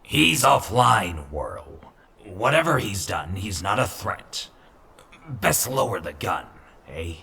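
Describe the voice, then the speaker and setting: A man playing a calm, weary robot doctor in a comic dub, with a dry, measured, reassuring manner. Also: on the low side, vocal fry, a little hiss or hum, exaggerated voice. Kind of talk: comic book dub acting.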